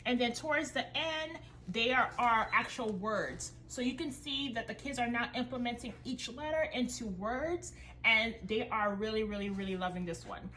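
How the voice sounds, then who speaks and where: Friendly haitian-born mom reviewing school books at home, french first language, kinda high clear bright voice. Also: female voice, normal speed, poor audio. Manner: upbeat, enthusiastic, friendly. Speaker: homeschooling mother and vlogger. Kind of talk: casual curriculum review vlog.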